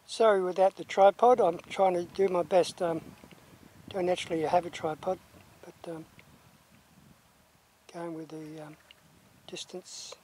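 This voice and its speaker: A man's voice: sits high, fairly even intonation, a little slow, pauses often, darkish tone, poor audio. A man giving hesitant, casual commentary as an amateur videographer, recorded outdoors on a camera microphone. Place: outdoors on a rural roadside. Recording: outdoor camera mic, faint roadside noise.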